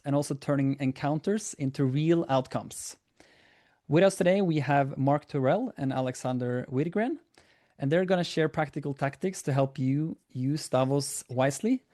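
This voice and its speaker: Man, slightly high voice, mellow, formal moderator intro. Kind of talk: scripted introduction.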